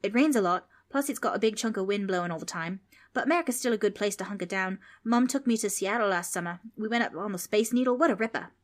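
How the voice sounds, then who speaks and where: A woman's voice: medium pitch, quick pace, natural voice. A woman reading aloud, voicing a cheerful, chatty boy.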